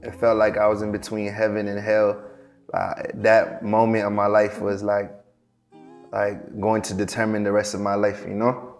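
A young man from Morocco, raised in Seattle, answering interview questions in a halting, soft-spoken way with many fillers and restarts, in a studio. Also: fairly low pitch, completely flat delivery, soft background music. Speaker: guest, performing artist. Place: dark studio set.

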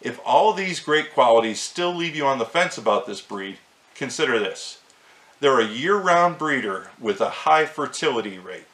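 A man's voice: mid-range voice, animated, fairly bright tone. Middle-aged man presenting in an echoey room. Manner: clear, measured reading to camera. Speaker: host. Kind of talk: scripted farm-animal presenter talk.

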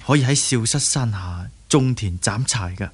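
An actor in a radio drama playing an earnest, forthright young martial-arts hero with a husky voice. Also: male voice, on the low side, normal speed, slight background noise, lightly acted. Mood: earnest, playful. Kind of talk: Cantonese radio drama acting.

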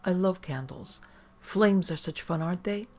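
A woman's voice: on the low side, normal speed, darkish tone, lo-fi sound, soft background music, pitched above the performer's own voice, lightly acted. A woman voicing an anxious young girl in a storybook, querulous and imploring. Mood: coaxing. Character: anxious, pleading young girl, gentle but fretful.

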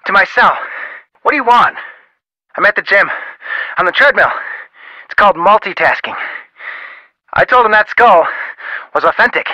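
A man's voice: sits high, bright, forceful tone, no echo, highs cut off, heavily acted. An actor playing a brusque, impatient male scientist talking on the phone in a video game, in short clipped sentences.

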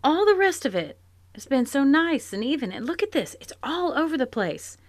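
A woman's voice: high-pitched, sing-song, moderate pace, dry recording. An actress playing a shrewd, plainspoken farm wife in a live audio drama, wry and rural in her talk. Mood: searching. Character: shrewd, sympathetic farm wife, middle-aged. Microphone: home closet mic, dry.

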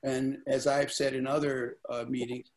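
A man's voice: mid-range voice, flat delivery, moderate pace, low-quality recording. Older male co-producer from Puerto Rico, webcam, easy storyteller. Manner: storytelling, recounting with quoted dialogue. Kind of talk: casual video call discussion. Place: lamp-lit living room at home. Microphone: webcam on a video call.

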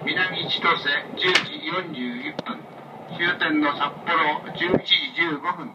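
A man's voice: slow, highs cut off. A man working as a train conductor makes a formal, polite announcement over the onboard public-address system. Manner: formal, polite, reading out stops and times. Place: inside a moving sleeper train, over loudspeaker. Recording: distant, noisy train PA captured in carriage. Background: train rumble and noise.

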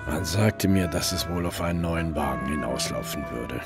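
A very low male voice over clear background music. a gruff, weary middle-aged detective recounting his story in a radio crime drama. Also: moderate pace. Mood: brooding. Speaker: narrator and lead actor. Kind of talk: German radio crime drama narration and dialogue.

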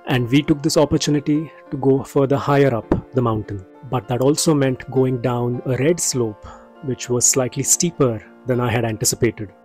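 A man narrates a ski trip guide in a steady, informative voice-over. His pitch sits in the middle range. Light music plays beneath him. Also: moderate pace. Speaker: travel vlogger narrating.

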